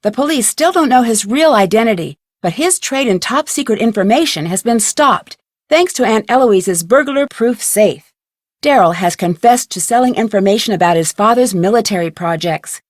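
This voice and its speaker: High-voiced inquisitive teen girl detective. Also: lively intonation, moderate pace, dry recording, studio quality. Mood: composed, narrating. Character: inquisitive, polite teenage girl amateur detective. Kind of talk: scripted adventure game voice acting.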